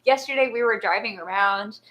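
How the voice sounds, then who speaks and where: Bright, chatty woman rambling casually. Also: mid-range voice, moderate pace. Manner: rambling, filler-heavy. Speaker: co-host. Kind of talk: casual chat.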